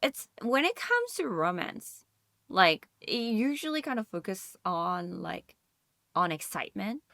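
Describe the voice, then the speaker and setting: A female podcast co-host with a medium-pitched voice, recorded dry. She chats casually, loosely building her thoughts with plenty of "like" and "you know". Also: big pitch swings, measured pace, vocal fry, fairly bright tone, no echo. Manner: frequent hedging with "like" and "you know". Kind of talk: casual conversational podcast discussion.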